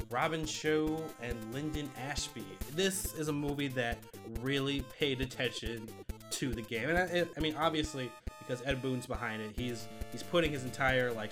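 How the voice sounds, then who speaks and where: A man co-hosting a podcast, talking casually and enthusiastically about films with some swearing. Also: medium pitch. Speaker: podcast co-host presenting a movie countdown. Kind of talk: casual film discussion.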